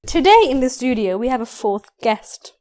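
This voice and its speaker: A woman's voice: sits high, very animated, warm tone. Giggly young woman podcast co-host. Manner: giggly, teasing, quick questions. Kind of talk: casual chat.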